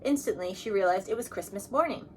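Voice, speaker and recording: A woman reading a children's storybook aloud as narrator, in an expressive manner. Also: medium pitch, quick pace, a little hiss or hum. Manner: expressive, acts out dialogue. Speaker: storybook reader.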